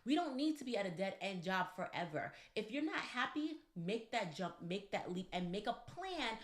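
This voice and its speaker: A woman co-hosting a casual podcast with her husband, talking straight to the listeners in an animated, preachy way. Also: mid-range voice, moderate pace, reverberant. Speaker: co-host.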